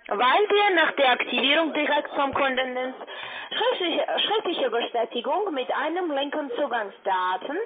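A woman with a foreign accent making a formal, halting sales call as an account manager. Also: high voice, animated, background noise. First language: not German. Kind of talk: scripted cold-call sales talk. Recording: narrow tinny phone line.

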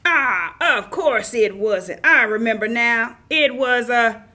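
A woman's voice: fairly high pitch, takes it slowly, sharp tone, full character voice. A woman voicing a silly, muddled, cheerful puppet wolf who tells children stories in a scatterbrained, singsong way. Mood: goofy, cheerful. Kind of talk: children's puppet theater storytelling.

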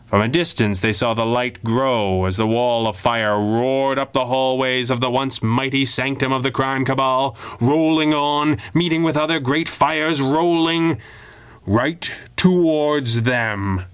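A man reading a pulp adventure story as a dramatic, theatrical and expressive narrator. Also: medium pitch, measured pace, clear, resonant tone. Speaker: audiobook narrator.

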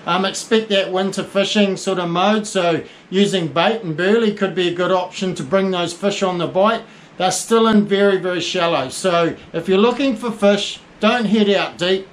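A man's voice: high voice, slight background noise, rough recording. Chatty New man giving a fishing report. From New Zealand. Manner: chatty, rambling with many fillers and restarts. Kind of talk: casual spoken fishing report. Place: small indoor tackle shop by a window.

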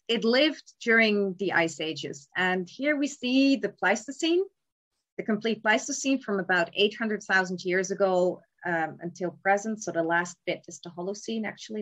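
Young woman, mid-pitched, patient explanatory lecturer on a webcam call, filler-heavy. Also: a bit bright. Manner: measured, explanatory, with frequent ums. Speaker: palaeontology lecturer. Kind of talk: slide lecture on cave bears. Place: indoor room, remote talk. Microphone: webcam in a video call.

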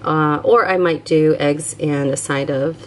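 A young woman talks through her groceries and weekly plan in a relaxed voice-over, ticking items off one after another. Her voice sits a little low for a woman. The delivery is chatty and unscripted. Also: unhurried, slight background noise. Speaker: vlogger. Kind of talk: casual voice-over narration.

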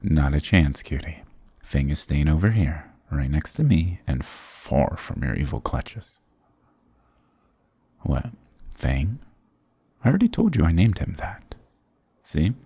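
Very deep, gravelly flirty goth guy, acted by a man. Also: sing-song, slow, long pauses, mellow, dry recording, exaggerated voice. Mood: playfully indignant. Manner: teasing, affectionate, mock-dramatic. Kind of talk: intimate ASMR roleplay acting.

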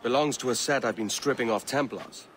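An actor with a Welsh accent playing a roguish, blunt, self-interested pirate assassin in a video game, brusque and casual in speech. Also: male voice, mid-range voice, fairly quick, fairly bright tone, a little hiss or hum, lightly acted. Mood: blunt, impatient. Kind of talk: dramatic video game acting.

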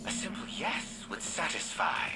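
An actor playing an imperious, embattled AI in a video game, speaking in formal, clipped commands. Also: male voice, a little slow, natural voice. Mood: indignant. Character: imperious, embattled male AI warmind demanding help. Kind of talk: scripted video game acting. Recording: loud music behind the voice.

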